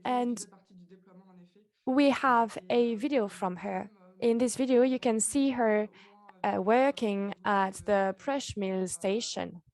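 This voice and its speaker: A woman's voice: on the high side, slow, darkish tone. A woman speaking as a presenter, giving a conference presentation.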